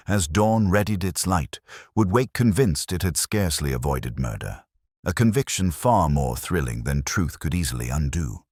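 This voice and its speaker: Male narrator, extremely low pitch, animated intonation, measured literary delivery, dramatic mystery storytelling. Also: no echo, clean studio sound.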